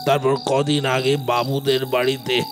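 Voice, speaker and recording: A man playing a gentle middle-aged village uncle who is a priest, speaking in a slow, hushed and fatherly way, with music behind him. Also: medium pitch, level tone, lightly acted. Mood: concerned. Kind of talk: audio drama acting. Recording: background music.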